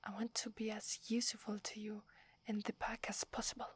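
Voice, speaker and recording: A woman's voice: medium pitch, monotone, no echo, close to an everyday voice. Whispering Italian-accented werewolf girl, acted by a woman. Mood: deferential. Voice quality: soft, breathy whisper. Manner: hesitant, respectful, measured. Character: submissive, brave young female werewolf, earnest and deferential. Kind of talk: whispered ASMR roleplay.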